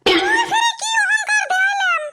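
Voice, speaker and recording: A man voicing a haughty, scornful young college girl in a comic cartoon, with a Lower Assam rural accent and a squeaky pitched-up effect. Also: steady tone, moderate pace. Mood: offended. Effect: extremely pitched-up squeaky voice. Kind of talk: comic meme cartoon dialogue. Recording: loud background noise.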